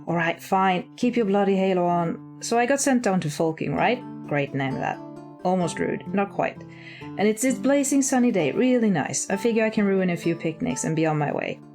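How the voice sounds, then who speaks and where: A woman's voice: medium pitch, expressive, normal speed, music in the background, natural voice. Woman voicing a sardonic, grumbling male demon. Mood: grudging, rambling. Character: irritable, sarcastic male demon, slouchy and sweary.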